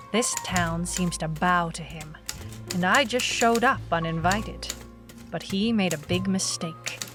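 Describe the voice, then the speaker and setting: An actress playing a tough, defiant female private eye, narrating a noir audio drama in a cool, clipped manner. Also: lowish voice.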